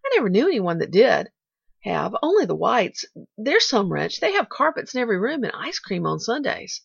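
A woman's voice: mid-range voice, big pitch swings, normal speed, creaky, slightly rough, dry recording, lo-fi sound, pitched above the performer's own voice, exaggerated voice. A woman voicing an eager, bravely glad orphan girl in an audiobook, rambling breathlessly and correcting herself. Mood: bright, eager. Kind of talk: audiobook character voice.

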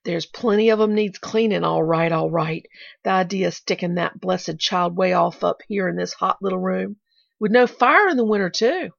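A woman's voice: fairly low pitch, moderate pace, vocal fry, no room sound. A woman reading the part of a feisty, resentful housemaid who talks in plain country grammar, on a dull lo-fi recording. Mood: indignant. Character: feisty young maid, resentful and blunt.

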